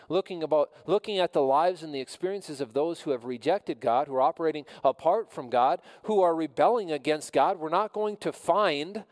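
A man preaching a sermon as pastor, measured and earnest, often repeating phrases for emphasis. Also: a little high, a little mellow, in a large hall. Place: church.

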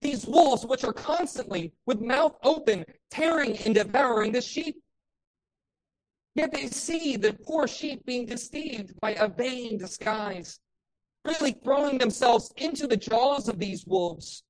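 Woman pastor, fairly high voice, loud and impassioned in the pulpit, hits emphatic peaks. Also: expressive, a little slow, rough recording. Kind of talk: sermon.

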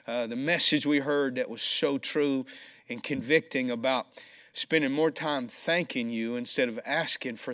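A man's voice: medium pitch, pushed and bright. A man preaching a sermon in a folksy way, with a scratchy voice. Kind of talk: sermon with scripture reading.

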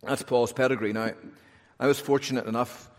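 A man with a Northern Irish accent, preaching a sermon in a relaxed, conversational way with humorous asides. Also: medium pitch, moderate pace. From Northern Ireland. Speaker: pastor. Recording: long hall reverb.

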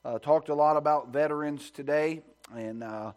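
A man pastor preaching a sermon in a church sanctuary, reading scripture and praying, at times hushed and at times rising with fervor. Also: moderate pace. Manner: builds to loud, high-pitched preaching fervor.

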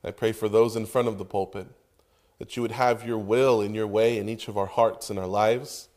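A man preaching an earnest sermon from the pulpit, reading scripture and praying, with emphatic pauses. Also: lowish voice, steady tone, a little mellow. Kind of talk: sermon with prayer.

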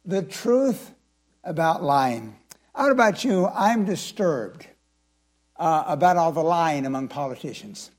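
An older male pastor with a slightly raspy voice, talking in a folksy, chatty way before a sermon and then rising into emphatic preaching. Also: fairly high pitch, darkish tone. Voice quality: raspy from vocal strain. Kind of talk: evening church sermon.

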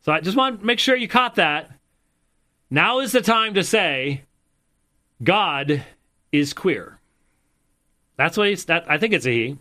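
Bright, emphatic male commentator. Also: high-pitched, lively intonation, unhurried, long pauses. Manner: emphatic, repeats his points. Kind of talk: commentary on video clips.

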